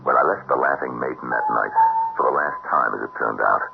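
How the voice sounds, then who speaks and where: Low gravelly calculating treasure hunter. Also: male voice, heavily acted. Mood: grave, reflective. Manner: deliberate, planning, explanatory. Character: calculating male treasure hunter recalling a fatal venture. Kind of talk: 1970s radio drama acting and first-person narration. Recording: dull old radio broadcast, faint music.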